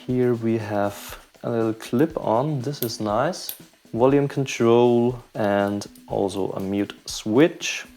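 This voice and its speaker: A man hosting a tech channel, giving calm, short remarks in an unboxing voice-over. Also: mid-range voice, takes it slowly.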